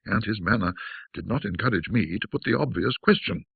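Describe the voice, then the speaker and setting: Gravelly, expressive male ghost story narrator. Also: fairly low pitch, no room sound, phone-quality sound. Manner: theatrical, measured storytelling with dramatic pauses.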